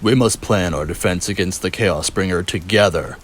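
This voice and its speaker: A man voicing a noble, weary, resolute robot leader in a comic dub, grave and measured. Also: deep voice, slight background noise, lightly acted. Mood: grave, earnest. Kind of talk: fan comic dub, solemn dramatic speech.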